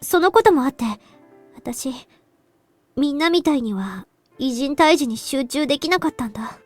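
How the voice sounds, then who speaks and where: A woman voicing a gentle, naive young woman in a visual novel game. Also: high voice, animated, quick pace, soft background music. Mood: confiding. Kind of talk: Japanese visual novel voice acting.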